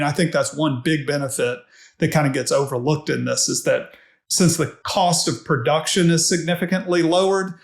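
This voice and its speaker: A man giving measured, explanatory answers as a guest in a conversational interview. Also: on the high side, gravelly. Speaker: podcast guest, tech executive. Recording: roomy, echoey sound.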